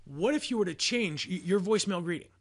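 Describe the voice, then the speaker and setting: American guy doing a sales coaching video, kinda high voice, punchy deliberate phrasing, motivational. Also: animated, breathy edge. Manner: emphatic, deliberate phrasing with rhetorical questions. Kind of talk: motivational instructional talk to camera.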